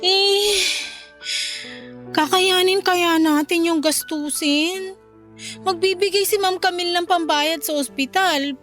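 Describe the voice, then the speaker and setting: A woman's voice: very high voice, takes it slowly, fairly bright tone, full character voice. A woman playing a defensive, envious grown child in a Filipino radio-style drama, with a plaintive, questioning delivery. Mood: uneasy, pleading. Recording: music bed under the voice.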